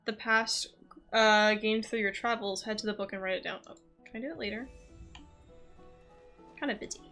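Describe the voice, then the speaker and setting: A woman's voice: medium pitch, fairly even intonation, moderate pace, pushed and bright, soft background music. A woman livestreaming a game, chatting casually and distractedly, swearing now and then and reading dialogue aloud. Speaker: streamer.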